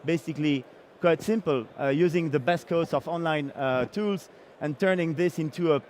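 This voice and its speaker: Founder, middle-aged man from Belgium, a bit higher-pitched, hesitant pitch full of "uh"s. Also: moderate pace, darkish tone, slight background noise. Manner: hesitant, many fillers and restarts. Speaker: startup founder. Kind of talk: startup pitch presentation.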